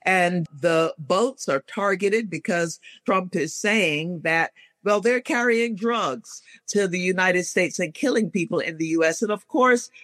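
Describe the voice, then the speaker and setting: A man's voice: sits high, unhurried, fairly bright tone, dry recording. A man hosting a current-affairs talk show, commenting and introducing guests earnestly in a conversational way. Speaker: radio show host.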